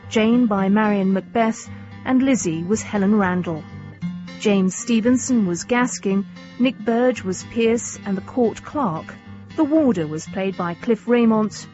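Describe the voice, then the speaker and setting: A woman announces radio drama credits in a scripted, mid-pitched voice. Faint plucked guitar plays behind her. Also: darkish tone. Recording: slightly muffled over guitar music. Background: plucked guitar music.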